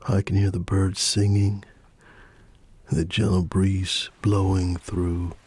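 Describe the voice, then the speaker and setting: A man voicing an easygoing, appreciative male hiker in a bedtime story, soft and earnest. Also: low-pitched, little pitch movement, slow pace, breathy edge, exaggerated voice. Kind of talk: ASMR bedtime story character dialogue.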